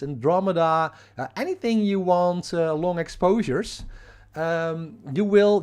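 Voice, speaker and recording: A man with a strong Dutch accent explains astrophotography live on a stream, reading and answering viewer comments as they come in. His voice sits a bit higher than most men's, and he talks casually, with plenty of fillers and restarts. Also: darkish tone, clean tone. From Netherlands. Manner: halting, many fillers and restarts; reads and answers viewer chat. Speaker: astrophotography livestream host.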